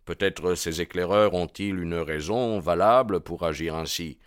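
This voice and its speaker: Man acting a bold, low-voiced young suitor. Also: unhurried, clear, resonant tone, dry recording, studio quality. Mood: defiant. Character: bold, defiant, ardent young man, proud and fearless. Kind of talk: audiobook character dialogue.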